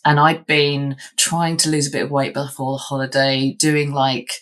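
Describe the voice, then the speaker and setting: A woman's voice: a bass voice, level tone, normal speed, fairly bright tone. A woman, telling her story as an interview guest, chatty with frequent ums and restarts. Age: 50s. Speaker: guest, lawyer. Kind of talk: candid interview answers.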